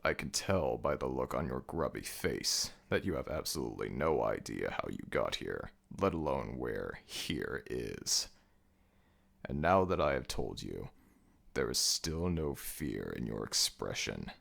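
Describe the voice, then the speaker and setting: A man acting as an imposing jackal-headed god of the dead in an ASMR audio roleplay, speaking slowly and formally with commanding, deliberate menace and curiosity. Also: rumbling low, big pitch swings, vocal fry, fairly bright tone, full character voice. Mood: menacing, curious.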